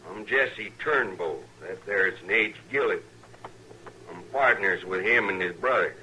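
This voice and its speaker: Curt western trapper, sharp low voice. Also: male voice, expressive, unhurried, exaggerated voice, poor audio. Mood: cold, curt. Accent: American western. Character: curt, callous frontier wolf trapper. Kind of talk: old time western radio drama acting. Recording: vintage radio recording, light noise.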